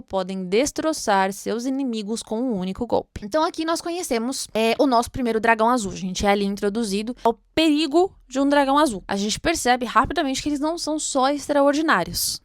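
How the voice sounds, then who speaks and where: A woman's voice: a little high, fairly bright tone, no room sound, studio quality. A woman with a Brazilian accent hosts a book read-along, commenting casually and thinking aloud in an informal, chatty way.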